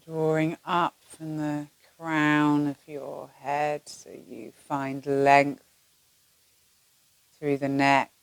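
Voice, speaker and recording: A woman with a low voice leads a guided yoga nidra meditation. She speaks very slowly and gently, leaving long pauses between soothing phrases. The recording is close and dry, with little sense of the room. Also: completely flat delivery, smooth, dry recording.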